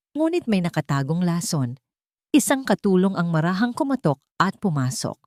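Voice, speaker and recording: Low-voiced woman narrating a dramatic story. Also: a little mellow, dry recording, studio quality.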